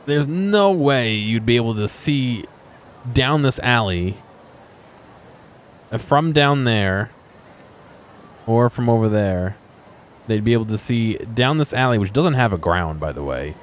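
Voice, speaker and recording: A low-pitched man gives off-the-cuff commentary while exploring a video game. He thinks out loud in a casual, wondering way. There is some background noise of street-like ambience behind his voice. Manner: musing, thinking aloud. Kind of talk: casual unscripted gameplay commentary.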